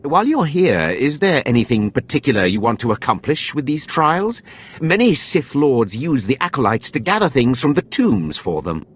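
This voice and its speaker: Sith overseer, husky, expressive pitch, callous, wry, video game villain. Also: male voice, normal speed. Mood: wry, deferential. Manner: wry, deferential to superior, commanding. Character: callous, sardonic Sith overseer of slave trials.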